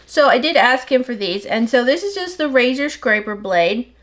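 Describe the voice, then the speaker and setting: A woman's voice: fairly high pitch, animated, fairly bright tone. Chatty, slightly nasal woman soap maker. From United States. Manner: rambling, talks fast without pausing for breath. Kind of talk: casual chat while working. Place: home soap workshop.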